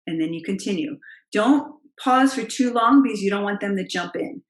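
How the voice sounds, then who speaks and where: A middle-aged woman with a naturally low voice speaks in an emphatic, expressive coaching manner, addressing the camera directly. Her pitch is moderate for a woman. The room around her is noticeably echoey. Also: normal speed. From Italian heritage. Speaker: confidence coach. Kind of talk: direct-to-camera advice vlog. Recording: roomy, echoey room sound.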